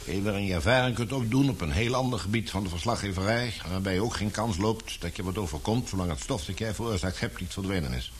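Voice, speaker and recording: Guy playing older newspaper boss in a radio play, lowish voice, bossy and patronising, bit of background noise. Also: fast, few pauses, close to an everyday voice. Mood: businesslike. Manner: commanding, patronising. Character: authoritative older male newspaper baron, brisk, fatherly mentor. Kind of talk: Dutch radio play acting.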